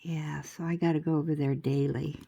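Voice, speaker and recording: A woman's voice: deep voice, level tone, normal speed, gravelly, mellow, husky. An older woman with a stuffy nose, chatting casually and rambling in a crafting vlog, laughing often. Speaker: crafting vlogger.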